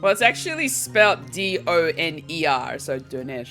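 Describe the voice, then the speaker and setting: Lively, playful woman over music. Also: deep voice, normal speed. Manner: playful, teasing, animated. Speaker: stream co-host. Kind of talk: casual chat. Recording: music behind voices.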